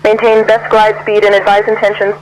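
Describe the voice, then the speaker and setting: A woman's voice: medium pitch, monotone, fairly quick, rough recording. A synthetic woman's voice playing an unruffled air traffic controller, giving formal instructions over a radio. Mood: composed, formal.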